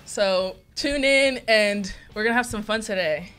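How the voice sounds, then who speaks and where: A young woman hosting a studio podcast, introducing her guest brightly and asking questions with frequent encouraging backchannels. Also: medium pitch, measured pace, clean tone, soft background music. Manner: upbeat, many "mm-hmm" backchannels. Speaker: podcast host. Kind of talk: casual podcast interview. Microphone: desk podcast mic with headphones.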